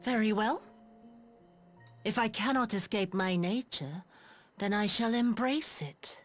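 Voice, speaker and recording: An actress playing a cold, vengeful villainess in a video game, speaking in theatrical, bitter, formal archaic phrases. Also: female voice, medium pitch, slow pace, soft background music. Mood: vengeful, spiteful. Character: spiteful vengeful villainess, cold, embracing her own monstrousness. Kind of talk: dramatic video game acting.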